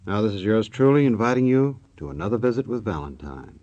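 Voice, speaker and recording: A man's voice: lowish voice, sing-song, fast talker, a little mellow. A man with an American accent announcing an old-time radio show in a jocular, folksy way, on a muffled recording. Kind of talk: 1940s-50s radio announcing.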